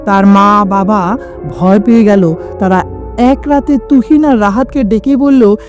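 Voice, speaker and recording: A woman's voice: mid-range voice, fairly even intonation, a little slow, over music. A woman narrating a scripted horror story in a dramatic storytelling manner, with sudden drops in her voice.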